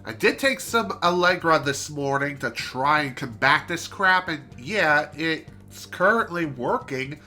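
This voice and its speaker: A man's voice: a little high, animated, measured pace, hardly any pauses, pushed and bright. A man chatting through a game stream in a casual let's-play style, his voice nasal and congested, with chatty self-deprecating asides. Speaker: streamer playing a video game. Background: game music.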